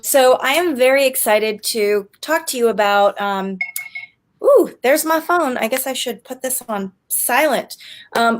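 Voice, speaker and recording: A woman in her forties hosting an online interview show. She talks in a bubbly, chatty way with frequent "um" fillers. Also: a little high. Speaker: interview host and business coach.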